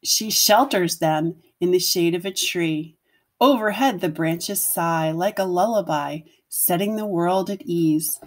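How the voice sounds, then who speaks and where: A woman's voice: on the low side, unhurried. Woman teacher reading aloud to children. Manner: instructive, asks the listeners questions, addresses children simply. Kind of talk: picture-book read-aloud with commentary to children.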